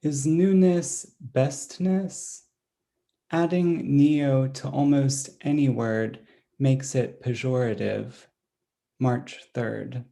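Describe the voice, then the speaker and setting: A man reading another poet's diary-like poem aloud, slow and deliberate, closing each entry with a date. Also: medium pitch, gravelly. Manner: deliberate, dated entries read flatly.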